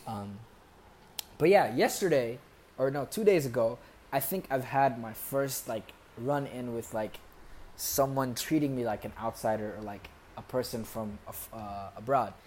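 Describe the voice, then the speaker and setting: A young American man, a podcast guest, casually telling animated stories with jokes and laughs. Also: medium pitch, measured pace, slight background noise. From United States. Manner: animated, joking, laughs through his stories. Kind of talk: casual conversational storytelling.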